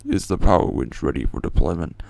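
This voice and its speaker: Man playing a very deep, gravelly vigilante. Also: lively intonation, fairly quick, darkish tone, dry recording, full character voice. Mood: grim, focused. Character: grim, terse male masked vigilante hero. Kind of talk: fan-dub video game acting.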